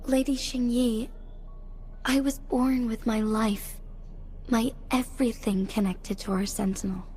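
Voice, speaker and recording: A young woman playing a resolute, devoted young leader in a video game, speaking in an earnest, measured, formal way. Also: on the high side, steady tone, darkish tone, natural voice. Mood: solemn resolve. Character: solemn young female leader, resolute, devoted to her guardian. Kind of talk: scripted video game voice acting. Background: music behind the voice.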